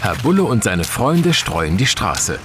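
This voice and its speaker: A man's voice: on the low side, very animated, measured pace, loud music behind the voice. A man narrating a children's audio play from a script.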